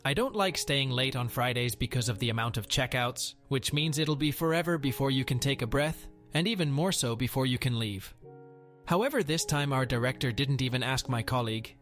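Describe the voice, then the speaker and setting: A man narrating a first-person workplace story in a steady, even storytelling read. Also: medium pitch, fairly quick. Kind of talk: scripted narration of a reddit story. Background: faint music.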